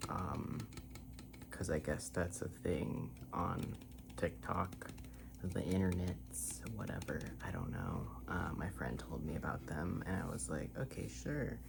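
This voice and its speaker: A very creaky, low-pitched voice, drifting between soft speech and breathy whispers. The delivery is a slow, playful ASMR ramble with a mock-grandiose streak, spoken close to the mic. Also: noisy background. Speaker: ASMR video creator.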